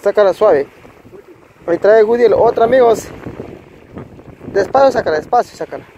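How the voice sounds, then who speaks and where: A man's voice: sits high, expressive, mellow, rough recording. A man narrating a fishing trip to his viewers from a rocky lakeshore, cheering on and coaching his friend as he reels in fish. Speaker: fishing vlogger. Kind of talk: casual outdoor narration to viewers. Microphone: handheld phone camera. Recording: wind and water noise on phone mic. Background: wind and rushing water.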